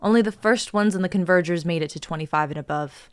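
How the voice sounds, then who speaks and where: A woman's voice: fairly low pitch, quick pace, close to an everyday voice. A woman reading the part of a tough, threatening female ship captain in a live dramatic reading, commanding and mocking.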